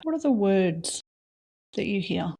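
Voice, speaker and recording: A woman leading a guided reflection exercise, asking slow, soft questions with long pauses between them. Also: medium pitch, sing-song, creaky, breathy edge. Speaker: meditation guide.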